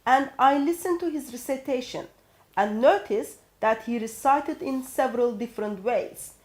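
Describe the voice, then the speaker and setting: A woman from Turkey, speaking English with a Turkish accent, co-presenting a discussion and often pausing and restarting as she talks. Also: sits high, darkish tone. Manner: halting, with fillers and restarts. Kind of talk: studio discussion.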